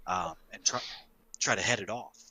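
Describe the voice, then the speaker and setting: Man, lower-pitched, very sharp forceful tone, emphatic game master in casual discussion, high. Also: normal speed, husky, dry recording. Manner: emphatic, sweary. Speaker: podcast panelist and game master. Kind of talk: casual tabletop gaming discussion.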